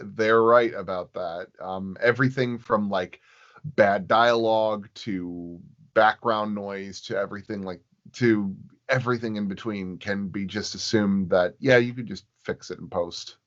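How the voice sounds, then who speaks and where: A man chatting casually as an interview guest, explaining his work in a halting, rambling way. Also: on the low side, fairly even intonation. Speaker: sound designer, podcast guest. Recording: dull, lo-fi audio.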